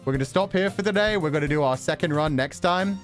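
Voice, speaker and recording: A man's voice: on the high side, quick pace, soft background music. A man livestreaming and chatting casually with viewers in a wry, sarcastic way, swearing now and then.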